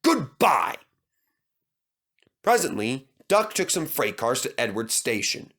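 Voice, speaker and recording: Animated man narrating a children's story. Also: mid-range voice, normal speed.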